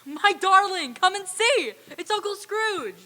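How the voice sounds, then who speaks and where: A woman playing a flustered, effusive, good-hearted gentleman in a theatrical old-time radio drama reading. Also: extremely high pitch, animated, measured pace, full character voice. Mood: welcoming delight. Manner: gushing, exclamatory. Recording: reverberant hall stage recording.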